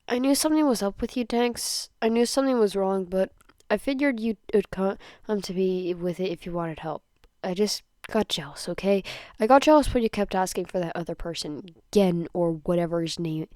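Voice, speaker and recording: Woman as a steady, protective teenage boy. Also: fairly low pitch, no room sound, clean studio sound, close to an everyday voice. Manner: firm, reassuring, casual. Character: steady, protective, concerned teenage boy, blunt but tender. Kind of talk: dramatised fan fiction reading.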